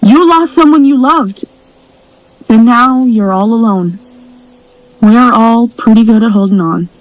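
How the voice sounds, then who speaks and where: A woman playing a steadfast, compassionate rancher and healer in an audio drama, speaking in an earnest, measured way. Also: fairly high pitch, no room sound, lightly acted, poor audio. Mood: consoling, earnest.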